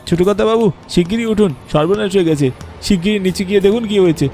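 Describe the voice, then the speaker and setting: An actor plays an elderly family retainer in a horror cartoon. The old man is deferential but alarmed, urgent and high-pitched, over soft music. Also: racing. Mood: panicked. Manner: urgent, flustered. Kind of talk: Bengali horror cartoon acting.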